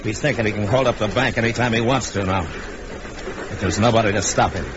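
A man plays a steady, shrewd lawman in an old radio Western. His American voice is low and commanding, speaking tersely. The recording is dull with clear background noise. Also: brisk, lightly acted. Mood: wry, shrewd. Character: stern, steady, shrewd Old West marshal. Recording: muffled, noisy vintage radio recording.

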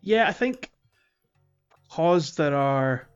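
A man with a fairly high voice hosts a casual gaming podcast, chatting and steering the talk. The sound is a little dull and lo-fi, with music behind him. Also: expressive, drawn-out words, clear, resonant tone. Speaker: podcast host.

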